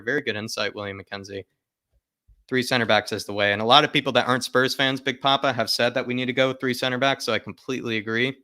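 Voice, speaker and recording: A man with a fairly low, forceful voice hosts a football fan livestream. He talks casually and directly to viewers and often repeats phrases for emphasis. The sound is dry and close. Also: dry recording. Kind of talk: casual live fan chat, reading viewer comments aloud.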